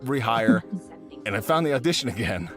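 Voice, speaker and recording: Young American male voice actor, casual interview tone, low-pitched but very animated, amused storyteller. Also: normal speed, music in the background.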